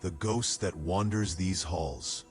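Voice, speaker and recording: A man's voice: sits low, slow pace, faint music behind. An actor playing a cautious, dutiful castle guard in a fantasy audio drama, courteous and formal as he keeps the peace.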